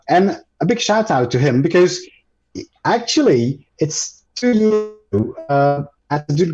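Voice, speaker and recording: Male presenter, French native speaker, mellow tone, stop-start delivery, muffled call audio. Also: fairly high pitch. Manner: hesitant, many ums and restarts. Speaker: guest presenter. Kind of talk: live screen-share tutorial.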